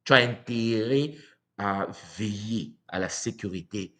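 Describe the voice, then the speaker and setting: A man from Congo giving a live talk to viewers, animated and rhetorical, often asking "n'est-ce pas?" and acting out quoted voices. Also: fairly low pitch, measured pace. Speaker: speaker on spiritual tradition. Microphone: livestream mic.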